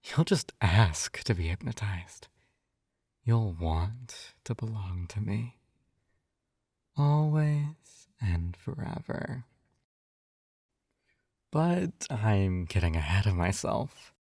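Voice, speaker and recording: A man's voice: on the low side, expressive, slow, long pauses, darkish tone, dry recording, clean studio sound, full character voice. A man playing a possessive, doting hypnotist captor in an intimate ASMR roleplay, coaxing and soothing, often slipping into a whisper. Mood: smug, doting. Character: possessive, doting male yandere hypnotist captor, gently controlling.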